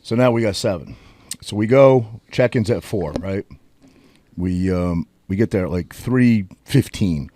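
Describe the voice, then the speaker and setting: A man's voice: fairly low pitch, a little slow, mellow, dry recording. A man, co-hosting a podcast and telling a rambling road-trip story with plenty of swearing. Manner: rambling, profane, storytelling with filler words. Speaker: podcast co-host. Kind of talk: casual storytelling chat.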